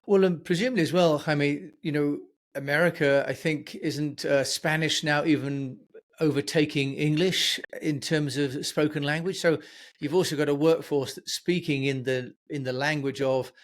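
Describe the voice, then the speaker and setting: A man's voice: on the high side, fairly even intonation, normal speed. A man hosting a podcast interview, putting questions and comments to his guest in a conversational way.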